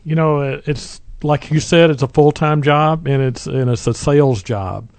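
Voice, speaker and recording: A man co-hosting a radio talk show, giving easygoing advice with halting restarts. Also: on the high side, no room sound.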